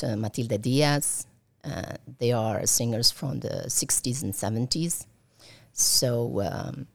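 Middle-aged colombian woman, gravelly voice, lots of uhs and ums. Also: a bass voice, a little mellow. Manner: hesitant, many fillers and restarts. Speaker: interview guest. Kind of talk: casual conversational interview.

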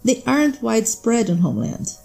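A cool, knowing smuggler woman, put on by a man reading the story. She talks in a high, mellow voice with a breezy, matter-of-fact ease, as if every deal were routine. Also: big pitch swings, a little fast, creaky, faint music behind, full character voice. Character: sly smuggler woman, adult, cool and businesslike.

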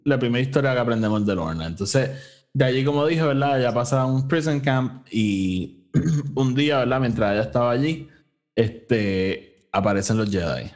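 A man's voice: medium pitch, expressive, moderate pace, a little mellow. A man with a Puerto Rican accent hosting a casual podcast review, recounting a story conversationally and dropping English phrases into his Spanish. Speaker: podcast host reviewing an audio drama.

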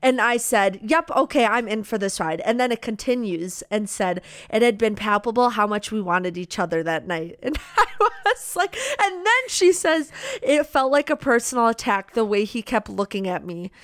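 Young woman, high-pitched, gushing book podcaster. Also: sing-song, moderate pace, hardly any pauses, fairly bright tone, no echo, clean studio sound. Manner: gushing, emphatic. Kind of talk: enthusiastic casual book chat.

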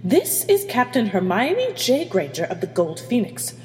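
A woman's voice: a little high, measured pace, warm tone, big-room sound, faint music behind, voice pushed up, natural voice. A woman voicing a firm, steely female starship captain who gives orders in a space story. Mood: steely, defiant. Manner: firm, dry, barking orders.

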